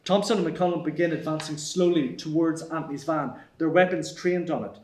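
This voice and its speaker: A man's voice: a little high, brisk, a little mellow. A man reading stage directions as scripted narration at a screenplay table read. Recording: echoey room.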